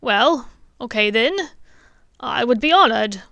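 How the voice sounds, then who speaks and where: A woman reading the part of an earnest, slightly nervous middle-aged male dentist, speaking louder and eagerly. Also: fairly high pitch, expressive, slow pace, creaky, dry recording, full character voice.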